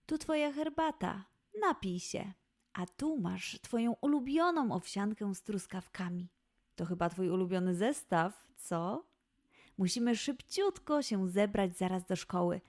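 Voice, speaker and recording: A woman's voice: mid-range voice, lively intonation, a little slow, a little mellow. A woman voicing a busy, caring lioness mother with a motherly, chivvying manner in a children's audio story. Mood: cheerful, busy.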